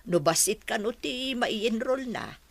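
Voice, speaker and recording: A woman's voice: fairly high pitch, animated, slow pace, creaky, full character voice. An actress with an Ilocano Filipino accent playing a practical mother in a radio drama. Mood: matter-of-fact.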